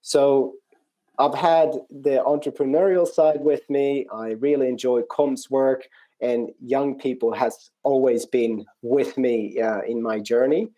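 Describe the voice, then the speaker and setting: A man with a Swedish accent, speaking conversationally as an interview guest, in a reflective, hesitant way with frequent pauses. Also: mid-range voice, fairly even intonation, warm tone, rough recording. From rural Sweden, outside Norrköping. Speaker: interview guest, non-profit country manager. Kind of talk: conversational personal account in an interview.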